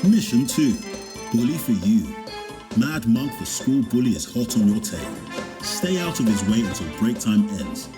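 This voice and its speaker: Animated virtual game announcer voiced by a man. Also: mid-range voice, moderate pace, lightly acted. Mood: showy. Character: game announcer of a virtual school, theatrical, showy. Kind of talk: video game mission announcements. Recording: music behind the voice.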